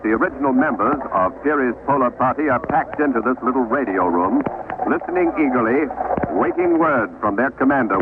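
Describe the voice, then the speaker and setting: A man's voice: medium pitch, lightly acted. An actor playing an earnest radio correspondent aboard a polar ship, speaking in a formal, dramatic newsman's manner in an old-time radio drama. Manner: deliberate, formal newsman delivery. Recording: noisy, tinny vintage radio recording.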